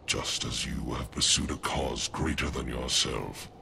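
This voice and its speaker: A man voicing a proud, solemn villainous ninja lord in a game cutscene, with a deep gravelly voice and slow, weighty delivery. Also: fairly even intonation, bright, forceful tone, a little hiss or hum, heavily acted. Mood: solemn, resigned. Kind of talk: dramatic video game cutscene acting.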